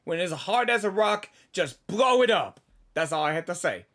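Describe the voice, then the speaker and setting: A gaming host giving casual live reactions in an excitable, playful, repetitive way. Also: mid-range voice, normal speed. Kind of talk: casual live game commentary.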